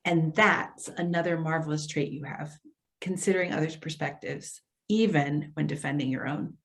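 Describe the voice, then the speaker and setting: A woman's voice: deep voice, moderate pace. Woman acting hesitant, earnest social worker. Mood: conciliatory. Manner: hesitant, placating, careful. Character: earnest, apologetic female social worker for elders. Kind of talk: readers theater dialogue.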